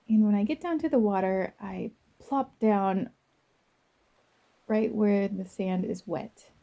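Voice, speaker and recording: Female guided-visualization narrator, very dark soft tone, breathy with some creak, gentle, dreamy, unhurried delivery. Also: mid-range voice. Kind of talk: slow first-person guided visualization.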